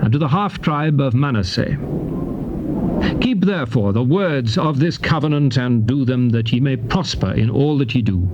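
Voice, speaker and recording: A man with a British accent giving a solemn, declamatory dramatized Bible reading in measured phrases. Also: medium pitch, highs cut off. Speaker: scripture narrator. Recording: noisy old cassette tape transfer.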